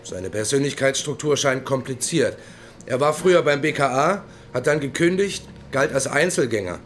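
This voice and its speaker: A man's voice: medium pitch, animated, normal speed, fairly bright tone, a little hiss or hum, natural voice. A mid-range male detective, played by an actor reporting in a radio drama, faint ambience behind. He sounds clipped, dismissive and sure of himself. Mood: matter-of-fact. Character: smug male police investigator, sure of his suspect.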